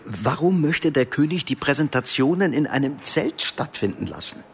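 A man's voice: on the high side, big pitch swings, normal speed, vocal fry, a little hiss or hum. An actor playing a weary, anxious middle-aged businessman in a naturalistic radio drama, with hesitant, questioning delivery. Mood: uneasy, polite.

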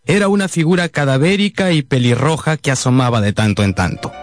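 A man's voice: medium pitch, fairly quick, no echo, clean studio sound, natural voice. An actor playing an earnest, sentimental grown man narrating his boyhood in a radio drama. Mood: reflective. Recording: music behind the voice.

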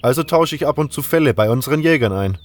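A man voicing a calm, reserved armorer and hunter in a fantasy audio drama, unhurried and matter-of-fact, with music behind him. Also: mid-range voice, pitched above the performer's own voice, close to an everyday voice. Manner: unhurried, matter-of-fact, talkative once engaged.

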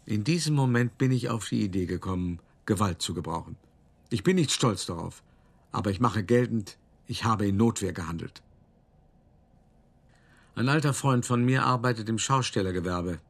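Older art dealer, low voice. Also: male voice. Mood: aggrieved. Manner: measured, cultivated storytelling with dramatic pauses. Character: old art dealer recounting his past, indignant, self-justifying. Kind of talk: radio play monologue, reminiscing narration.

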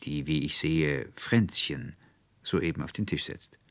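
Mann spielt ernste, entschlossene junge Frau, emphatisch. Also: male voice, very deep voice, a little slow, a bit bright, no echo, exaggerated voice. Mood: resolute. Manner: emphatic, formal old-fashioned declaiming. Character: earnest young woman confessing, resolute and effusive. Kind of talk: literary audiobook character dialogue.

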